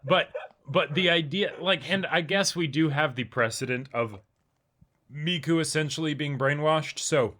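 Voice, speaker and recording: A man's voice: a little high, unhurried, fairly bright tone. A man co-hosting a casual anime reaction chat, rambling along with sarcastic asides. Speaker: co-host of a reaction show.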